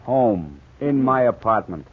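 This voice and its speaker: A man's voice: fairly low pitch, very animated, unhurried, slightly rough, heavily acted. An actor playing a surly, defensive American tough-guy suspect, sneering in slang in a 1940s radio drama. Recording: tinny 1940s radio recording.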